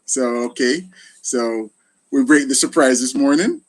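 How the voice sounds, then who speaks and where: A man's voice: mid-range voice, lively intonation, a little slow, low-quality recording. A middle-aged man with a Jamaican accent hosting a casual live chat, thanking people often. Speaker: gardening channel host, retired soldier.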